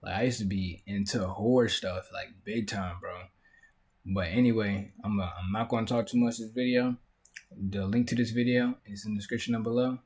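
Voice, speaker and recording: Young guy reacting to a vid, kinda husky, loose slangy chat. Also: lowish voice, steady tone, normal speed, darkish tone. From Maryland, USA. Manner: slangy, laid-back, talks over the video. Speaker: reaction channel host. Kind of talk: casual reaction commentary.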